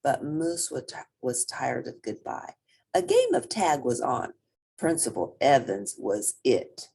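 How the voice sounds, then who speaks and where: Very animated, slow-paced woman storyteller. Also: very deep voice, creaky, breathy edge. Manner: expressive, theatrical emphasis. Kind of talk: children's picture book read-aloud.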